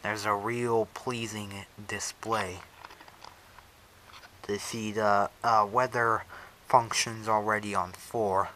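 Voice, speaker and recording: Noisy recording, man, lowish pitch, casual unboxing vlogger, halting phrasing. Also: little pitch movement, pushed and bright. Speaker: vlogger unboxing a weather radio. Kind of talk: casual hands-on unboxing narration. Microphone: action camera on head strap, later monopod.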